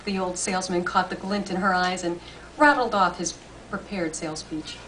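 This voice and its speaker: A woman reading a story aloud as expressive scripted narration. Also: on the low side, moderate pace. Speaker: story reader. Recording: distant mic, faint room noise.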